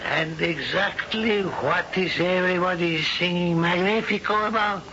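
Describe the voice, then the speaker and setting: A man's voice: high-pitched, measured pace, pushed and bright. An actor playing a proud, unbending older nobleman in a radio drama, speaking formally and with authority. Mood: stern. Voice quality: formal, commanding delivery. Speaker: stern aristocratic father. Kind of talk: 1970s radio drama acting. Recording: dull old broadcast with hiss.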